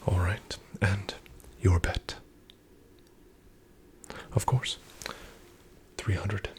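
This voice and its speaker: A man in a soft-spoken, whispered ASMR roleplay as a casino dealer, speaking in a polite, formal, deferential way. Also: low-pitched, level tone, very slow.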